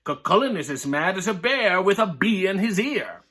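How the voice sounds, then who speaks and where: A man doing a big, scared giant from a storybook who keeps complaining in a high, anxious voice. His tone is bright and a little husky, and it swings up and down as he frets. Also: big pitch swings, moderate pace, exaggerated voice. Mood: fretful. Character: frightened, whiny male giant.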